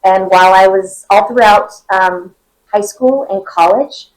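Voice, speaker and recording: Young woman, nasal, high voice, chatty, lots of ums, convention interview guest. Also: measured pace, poor audio. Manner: chatty, many fillers. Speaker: voice actor guest.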